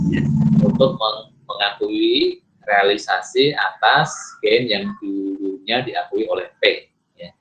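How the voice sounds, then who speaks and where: Muffled lo-fi recording, Indonesian man, low voice, didactic lecture style, self-answered questions. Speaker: accounting lecturer. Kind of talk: recorded lecture explaining slides step by step. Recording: muffled, lo-fi microphone sound.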